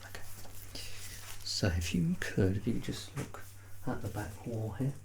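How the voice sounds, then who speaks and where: An ASMR performer speaking in a soft, unhurried murmur that slips into breathy whispers, reassuring and gently playful in a personal-attention roleplay. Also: medium pitch, expressive, slight background noise. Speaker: ASMR performer playing a measurer.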